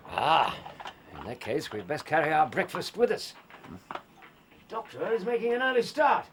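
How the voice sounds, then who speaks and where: A man as an earnest, lively gentleman sidekick. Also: on the high side, moderate pace, slight background noise. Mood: concerned. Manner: formal, old-fashioned, earnest phrasing. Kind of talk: period radio drama acting.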